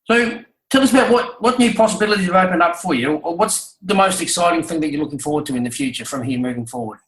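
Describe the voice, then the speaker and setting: A man conducting an informal interview, affirming and a little halting in his phrasing, recorded with echoey room sound. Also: on the high side, fairly bright tone. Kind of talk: conversational interviewing.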